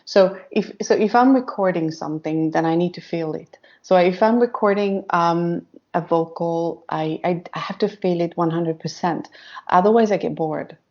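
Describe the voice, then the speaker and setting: Soft-spoken woman with fillers. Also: on the low side, normal speed, lo-fi sound. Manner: hesitant, searching for words, many fillers. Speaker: synth pop singer, guest. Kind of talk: casual interview chat.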